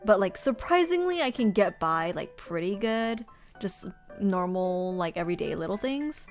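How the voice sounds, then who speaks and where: A woman's voice: mid-range voice, sing-song, moderate pace, soft background music. A woman casually chatting to her livestream viewers while sorting notebooks, rambling and laughing often. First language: Korean. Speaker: streamer.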